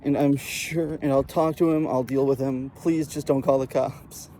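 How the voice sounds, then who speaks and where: A man's voice: medium pitch, fairly even intonation, normal speed, warm tone, slight background noise, natural voice. A man voicing an exasperated mother in a comic anecdote, speaking emphatically. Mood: pleading. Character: exasperated mother, pleading then sternly threatening.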